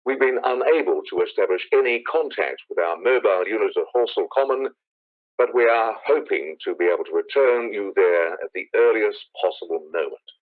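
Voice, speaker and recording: A man's voice: lowish voice, animated, a little fast, natural voice. An actor playing a formal male studio news announcer, urgent but composed, reading bulletins in a 1930s-style radio drama. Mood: grave, urgent. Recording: thin, phone-like vintage radio sound.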